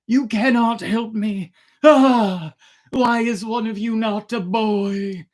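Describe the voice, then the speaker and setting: A woman voicing a weary, disapproving old emperor and father in a fairy tale, grave and sighing, with drawn-out words. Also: fairly high pitch, a little mellow, pitched above the performer's own voice. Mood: sorrowful. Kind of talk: fairy-tale storybook character.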